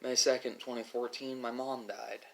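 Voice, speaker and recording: A man giving a reflective, philosophical monologue as an online host, speaking haltingly with drawn-out pauses. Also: mid-range voice, flat delivery. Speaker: host of an ASMR mukbang channel.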